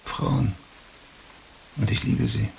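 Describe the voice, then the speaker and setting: An actor playing a driven, hard-boiled police detective in a radio drama, with a gravelly voice and terse, clipped phrasing. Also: male voice, medium pitch, fairly even intonation, slow, a little mellow, a little hiss or hum, rough recording. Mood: defiant. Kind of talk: German radio drama acting.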